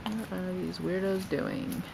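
A man casually narrating his hike outdoors, with relaxed, offhand comments on the scenery. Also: high voice, slow pace, vocal fry. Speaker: travel vlogger. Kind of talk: casual narration while hiking. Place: outdoors on desert trails and in an orchard. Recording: noisy outdoor camera audio.

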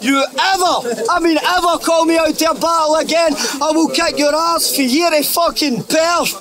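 A young Scottish man delivering crude, taunting rap battle verses outdoors at night, his voice going hoarse. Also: very high voice, normal speed. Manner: taunting, crude, laughs at own lines. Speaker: battle rapper. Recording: noisy outdoor crowd recording. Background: crowd and street noise.

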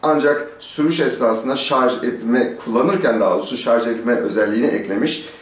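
A man's voice: medium pitch, normal speed. A middle-aged man giving a casual, explanatory product review to camera in a conversational way, often asking and answering his own questions. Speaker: motorcycle gear reviewer, host. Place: small stone-walled outdoor courtyard. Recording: roomy echo, distant microphone.

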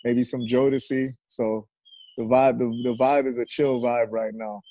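Middle-aged male coach, mellow and gravelly, reflective and halting, casual interview. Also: medium pitch, steady tone, rough recording. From Jamaica and United States. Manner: reflective, halting with repeated words. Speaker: high school football coach, interview guest. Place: covered outdoor porch.

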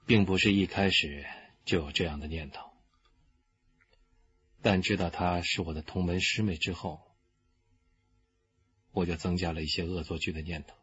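An actor playing a young, arrogant, unrepentant killer confessing calmly in a radio crime drama. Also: male voice, low voice, slightly rough, muffled recording, heavily acted. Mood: bitter, sardonic. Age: young adult. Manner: unrepentant, coldly deliberate.

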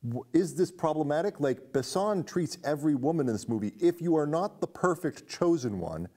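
A man's voice: on the high side, lively intonation, brisk, mellow. A middle-aged man hosting a casual film discussion in an indoor studio. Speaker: host. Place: indoor studio set with curtains.

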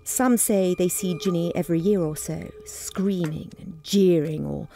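A woman's voice: fairly low pitch, a little slow, vocal fry, dark tone, soft background music. A wry, ominous female storyteller. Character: wry local woman telling a witch legend. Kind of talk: radio drama acting.

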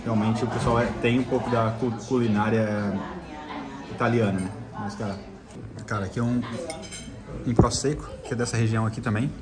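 A man's voice: fairly low pitch, fairly even intonation, normal speed. A man with a southern Brazilian accent chatting informally in a casual food vlog, often repeating himself. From Santa Catarina, southern Brazil. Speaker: travel food vlogger. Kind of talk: casual vlog chat while tasting food. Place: inside a restaurant. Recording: busy restaurant chatter behind.

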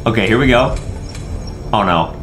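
A young man co-hosting a gaming reaction video, responding excitedly in short, repeated phrases. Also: fairly low pitch, moderate pace. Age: young adult. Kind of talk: excited gameplay reaction commentary. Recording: music behind the voice.

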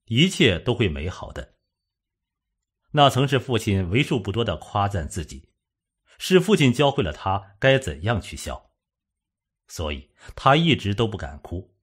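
A man narrating a suspense novel as an audiobook, in a measured, dramatic storytelling manner. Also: lowish voice, very animated, slightly rough.

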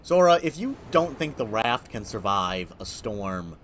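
A man's voice: lowish voice, big pitch swings, unhurried, a bit bright, slight background noise. A man giving casual, wry, nitpicking commentary as he plays a video game. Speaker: gaming video host. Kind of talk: casual let's play commentary. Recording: game music behind voice.

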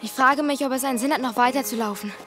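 Older girl woman actor, audio drama: high, sensible, cautious, a bit anxious. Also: quick pace, lightly acted. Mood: worried. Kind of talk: German audio drama acting. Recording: music under the voice.

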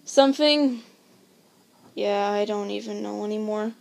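A woman's voice: mid-range voice, measured pace, vocal fry, darkish tone. A woman recording a casual voice demo, rambling and hesitant, often losing her train of thought and poking fun at herself. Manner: rambling, hesitant and self-deprecating. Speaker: amateur voice actor.

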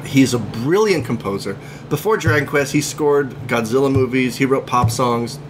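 A man hosting a podcast, telling stories in an animated, joking way. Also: medium pitch, normal speed, noisy background. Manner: animated, jokey storyteller. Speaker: podcast host. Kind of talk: casual chat.

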